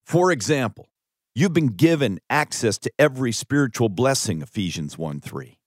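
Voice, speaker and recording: A man's voice: medium pitch, very animated, normal speed, no echo, studio quality. A man reading a scripted first-person audiobook testimony with earnest, dramatic emphasis. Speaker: author reading own audiobook.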